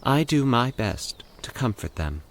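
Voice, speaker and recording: An uncanny, serene woman as a male narrator puts her on speaks for the dead in a horror tale. The delivery is a measured, soothing monotone, raised well above the man's natural register. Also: medium pitch, pitched above the performer's own voice. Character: calm, eerie woman who hears the dead's regrets.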